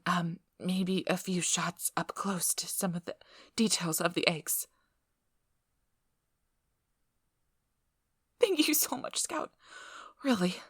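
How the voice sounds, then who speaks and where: A woman playing a nervous, apologetic, injured young field researcher in an ASMR audio roleplay, breathy and hesitant, stammering as she goes. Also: lowish voice, a bit bright, no echo, clean studio sound, full character voice. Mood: apologetic, eager.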